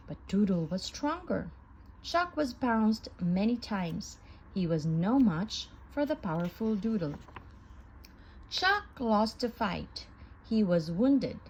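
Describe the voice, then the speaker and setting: A woman reading a children's story aloud in an expressive, sing-song manner. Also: a little high, breathy edge, rough recording. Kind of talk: children's read-aloud narration.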